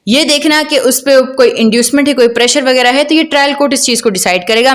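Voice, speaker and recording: A woman's voice: fairly high pitch, fairly bright tone. A woman with a Pakistani accent giving an explanatory law lecture, reading out and paraphrasing in a didactic way, often checking understanding with questions.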